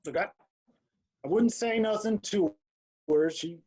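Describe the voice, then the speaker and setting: A man acts out a sleazy band manager in his sixties for a screenplay read. His voice sits a little high and he takes his time, drawling in a folksy, oily way. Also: measured pace, a little mellow, rough recording. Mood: pleading, evasive. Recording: remote virtual table read.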